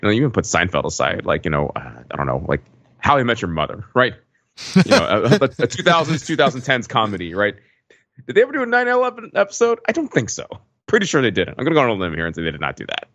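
A man's voice: lowish voice, fairly bright tone, dry recording. A middle-aged American man talking casually as a podcast panelist. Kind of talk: casual chat. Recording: dull, slightly lo-fi microphone.

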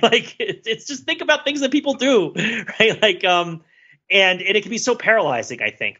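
A man's voice: on the high side, pushed and bright, slightly rough, highs cut off. A man co-hosting a podcast discussion, talking casually with many fillers, restarts and little laughs. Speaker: podcast co-host.

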